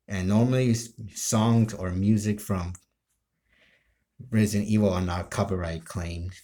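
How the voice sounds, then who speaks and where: A man chatting casually to his viewers as a video creator, rambling and circling back over halting explanations. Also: lowish voice, dark tone. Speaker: video creator and amateur role-player.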